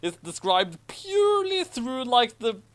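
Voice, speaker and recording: A man's voice: extremely high pitch, very animated, measured pace, fairly bright tone. A man reading a story aloud expressively, breaking off for sardonic asides. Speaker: reader of a story with commentary. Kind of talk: story narration with mocking asides.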